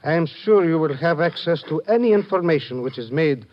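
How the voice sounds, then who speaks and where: An actor playing a reserved Greek police lieutenant in an old radio drama, speaking in a precise, formal, measured manner. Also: male voice, on the high side, expressive, close to an everyday voice. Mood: courteous, guarded. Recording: dull, faintly noisy vintage radio recording.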